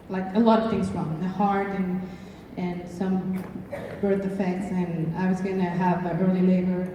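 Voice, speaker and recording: A woman from Mexico City shares a personal story as a panel speaker, halting and repeating words. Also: fairly low pitch, mellow. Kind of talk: personal testimonial to an audience. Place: large echoing event hall. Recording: echoey hall, distant mic, faint noise.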